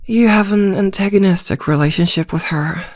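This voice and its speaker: A woman playing a composed, gentle therapist in an ASMR roleplay, speaking softly in a measured, reassuring way with thoughtful pauses. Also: mid-range voice, dry recording, clean studio sound, close to an everyday voice. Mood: attentive, calm. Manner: measured, reassuring, hesitant pauses with soft hums. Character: composed female therapist, gentle, attentive and discreetly probing. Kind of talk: soft-spoken ASMR roleplay acting.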